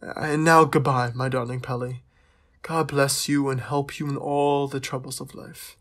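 A man's voice: mid-range voice, level tone, measured pace, a bit bright. An actor playing a courteous, lovestruck young royal, reading his letter aloud in a hesitant, wistful way for an audio drama. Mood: shy, wistful.

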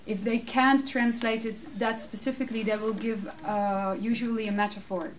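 A Dutch woman in her thirties answering audience questions in a casual, animated way, laughing often; English is her second language. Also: fairly high pitch, normal speed. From Netherlands. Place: small room with curtained backdrop, seated. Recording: distant mic, faint room noise.

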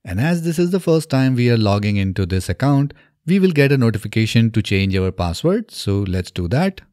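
Man, low mellow voice, lively intonation, instructional step-by-step tutorial narration, close dry mic. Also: a little fast, no echo. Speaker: tutorial presenter. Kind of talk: scripted software tutorial narration. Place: small indoor home studio. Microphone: close desk microphone on a boom arm.

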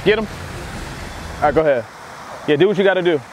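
A man's voice: fairly high pitch, expressive, fast, darkish tone, slight background noise. A young American man doing a casual fake phone call as a prank, talking in slangy, profane language. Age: young adult. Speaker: prankster host of a hidden-camera show. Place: outdoors on a campus walkway.

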